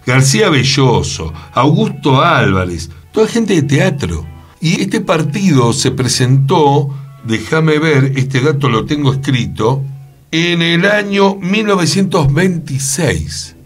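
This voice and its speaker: Argentine guy chatting on radio, lively up and down delivery, asks rhetorical questions, bit of music behind. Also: fairly high pitch, takes it slowly, breathy edge. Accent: Argentine, Rioplatense. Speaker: radio co-host, actor. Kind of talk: conversational storytelling about theatre history.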